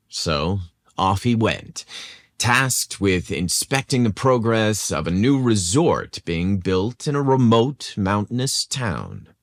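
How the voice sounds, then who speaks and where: Slow-paced man narrating, voice swooping expressively. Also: on the low side, no room sound, clean studio sound. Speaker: podcast host and narrator. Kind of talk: scripted story narration with teasing, suggestive delivery.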